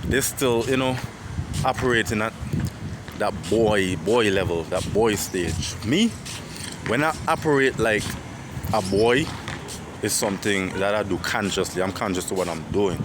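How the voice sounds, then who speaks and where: A man in his twenties with an Antiguan accent and a deep voice, rambling and reflective in a casual personal monologue, often repeating himself and swearing. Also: unhurried. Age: 20s. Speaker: vlogger. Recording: loud outdoor street noise.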